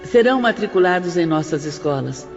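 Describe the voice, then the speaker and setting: A woman's voice: lowish voice, expressive, brisk. A woman playing a wise, dignified motherly spirit in an audiobook drama, speaking with composed, formal courtesy. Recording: dull lo-fi audio, faint music.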